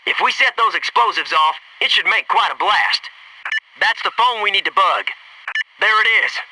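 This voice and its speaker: A young American Navy SEAL for a shooter game, cocky and wry. His voice is high and very animated, with punchy, eager wisecracking. It sounds set back from the mic, with faint noise. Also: normal speed, recorded from a distance. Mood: focused, brisk. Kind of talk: video game military voice acting.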